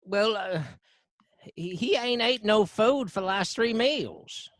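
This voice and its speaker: An actor playing a timid, dim, deferential prison guard in a radio drama, stammering and hesitant with folksy speech. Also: male voice, sits high, expressive, takes it slowly, fairly bright tone, no echo, studio quality, heavily acted. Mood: nervous, hesitant. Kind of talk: old-time radio drama acting.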